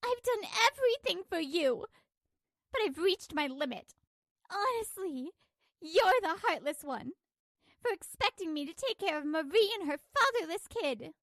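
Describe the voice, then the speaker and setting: A woman's voice: extremely high pitch, sing-song, measured pace, a bit bright, dry recording, pitched above the performer's own voice, full character voice. A woman voicing a fed-up working wife, indignant, cutting and resolute, in a manga story dub.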